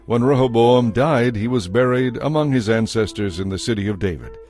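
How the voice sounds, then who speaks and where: Casting: male narrator, mid-pitched, formal measured storytelling for audio scripture, music underneath. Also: a little mellow. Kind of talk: scripted narration of dramatized Bible.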